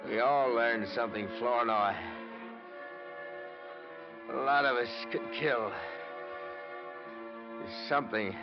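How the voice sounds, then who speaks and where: An actor playing a desperate, cornered man in a 1940s American radio drama. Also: medium pitch. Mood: grim, resigned. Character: desperate, cornered man turned killer, bitterly resigned. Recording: muffled vintage radio recording with music.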